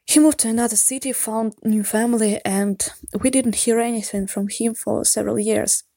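A woman's voice: on the high side, steady tone, normal speed, vocal fry, warm tone, no room sound. A woman from Russia with a Russian accent, a native Russian speaker, leaving a careful, earnest voicemail in English. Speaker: voicemail caller. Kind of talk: recorded voicemail message.

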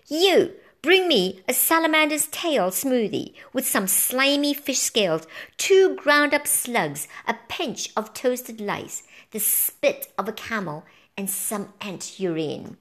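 A woman's voice: on the high side, lively intonation, slow, a bit bright, pitched above the performer's own voice. A woman voicing a wicked, ancient witch empress in a storybook, barking orders in a shrieking, commanding manner. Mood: commanding, shrill. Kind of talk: storybook character voice.

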